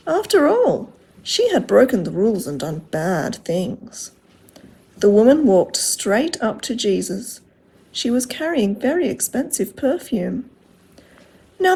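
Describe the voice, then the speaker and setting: A woman reading aloud to children as a storyteller, in an expressive way with simple, direct phrasing. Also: mid-range voice, breathy edge.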